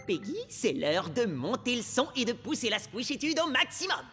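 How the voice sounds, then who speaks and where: Animated, expressive preteen cartoon boy. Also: mid-range voice, moderate pace, loud music behind the voice. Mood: determined. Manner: expressive, slangy. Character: earnest preteen boy amoeba hero.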